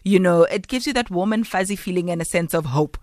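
A radio interviewer with a mid-range voice guides the talk, offering reflective remarks and steady murmurs of agreement. The sound is dry and studio-close. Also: medium pitch, fast talker, dry recording. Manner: affirming, frequent agreement sounds. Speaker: radio host.

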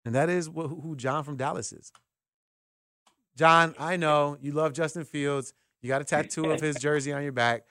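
A man's voice: fairly high pitch, moderate pace, dry recording, studio quality. A man hosting sports radio, chatting casually and telling stories with acted-out dialogue. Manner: animated storytelling with acted-out dialogue. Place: radio studio.

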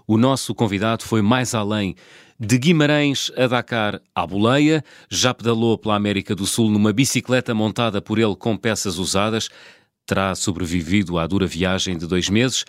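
A man with a European Portuguese accent hosting an interview, friendly and quick to laugh, with short backchannel replies. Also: on the low side, no room sound, clean studio sound. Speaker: podcast host. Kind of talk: conversational interview.

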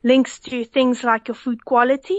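A high-voiced South African woman calling in as a researcher guest on a radio show. She explains at length with restarts and giggles often. The line sounds dull and dry, as over a phone. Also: flat delivery, moderate pace, no echo. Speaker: food fraud researcher guest.

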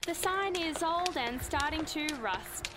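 A woman's voice: high voice, lively intonation, unhurried, background noise. A woman playing an on-the-scene news reporter, delivering a mock live report in dramatic sing-song rhyme. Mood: urgent.

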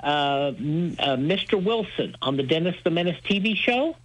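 A man's voice: fairly high pitch, animated, moderate pace, poor audio. A man talking enthusiastically as a radio host being interviewed, with an emphatic, folksy storytelling manner. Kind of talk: enthusiastic interview talk about old-time radio.

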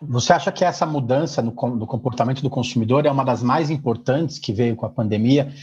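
A man hosting a podcast interview, asking slow, halting questions with restarts. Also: mid-range voice, a little mellow, husky. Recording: dull lo-fi mic.

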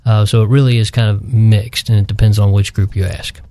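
A man speaking as a radio panel guest, explaining in a hesitant, measured way during a conversational discussion of religion. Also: on the low side, little pitch movement, mellow, dry recording.

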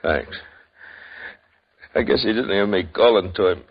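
An actor playing a wounded frontier man shot in the shoulder, with halting, drawling western speech, in a 1950s radio drama. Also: on the low side, slightly rough. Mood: pained. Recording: dull old radio broadcast.

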